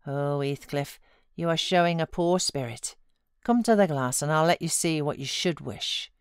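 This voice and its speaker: A woman's voice: deep voice, smooth, no echo, clean studio sound, close to an everyday voice. A British woman voicing a motherly housekeeper who coaxes and scolds frankly in a storybook reading. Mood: coaxing. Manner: brisk advice, coaxing and chiding.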